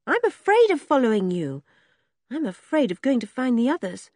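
A woman reading an audiobook voices a frightened, gentle young girl who talks in an anxious, pleading way. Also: on the high side, big pitch swings, normal speed, no room sound, studio quality, pitched above the performer's own voice.

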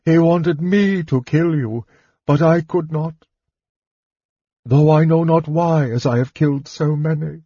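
A man voicing a tormented old male elf king in a fantasy audiobook, haunted and frantic, with thin, strained, halting exclamations. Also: a little high, warm tone, breathy edge, no room sound, dull audio, pitched above the performer's own voice. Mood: anguished disbelief.

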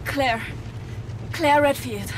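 A woman's voice: sits high, drawn-out words, noisy background. An actress playing an anxious but gutsy young female student in an audio drama. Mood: wary.

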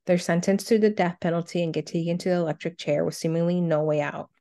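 A woman's voice: on the low side, fairly even intonation, fast, mellow. A woman co-hosting a casual countdown show, reading out entries and reminiscing in a conversational way. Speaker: co-host of a cartoon countdown show.

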